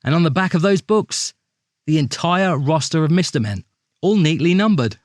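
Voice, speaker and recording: A man's voice: fairly high pitch, animated, moderate pace, no room sound, studio quality, natural voice. A man playing a suspicious storybook character in an audio drama, insistently and dramatically laying out his theory with rhetorical questions. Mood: accusing. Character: suspicious, accident-prone storybook man airing conspiracy theories.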